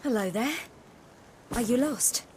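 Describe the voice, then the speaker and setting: A brave young woman warrior from a fantasy video game. Her voice sits a little high and she talks with calm, kind reassurance. There is faint ambient noise behind her. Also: slow. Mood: calm, gentle. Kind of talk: cinematic fantasy game acting.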